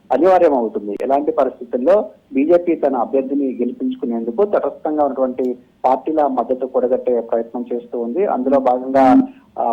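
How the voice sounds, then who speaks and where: A male news correspondent, giving a halting, repetitive live report over a phone line. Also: medium pitch, breathy edge. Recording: dull, narrow phone-in line.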